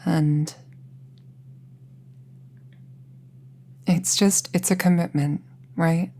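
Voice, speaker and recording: A woman playing an earnest apprentice witch in an intimate roleplay audio drama, speaking in a hesitant, gently reassuring way. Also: deep voice, fairly even intonation, measured pace, slightly rough, full character voice. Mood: earnest, careful. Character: earnest, careful apprentice witch, close friend to listener.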